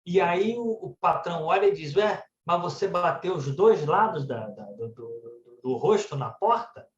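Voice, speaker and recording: A man's voice: high-pitched, expressive, measured pace. Brazilian man telling stories, echoey room. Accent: Brazilian Portuguese. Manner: conversational storytelling, frequently adding "né" tags. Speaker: speaker at a spiritist centre. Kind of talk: religious talk told as anecdotes. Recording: echoey room, dull muffled sound.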